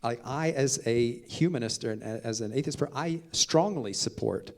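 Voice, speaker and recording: Male panelist, medium pitch, halting and conciliatory, speaking off the cuff. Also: darkish tone. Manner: conciliatory, halting, with "right?" tags. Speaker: humanist atheist panelist. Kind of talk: unscripted debate answer.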